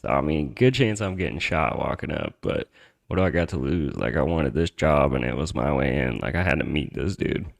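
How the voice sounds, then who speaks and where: A man's voice: rumbling low, lively intonation, fairly quick, creaky. A man hosting a podcast, telling a personal story in a casual, slangy, conversational way with some swearing.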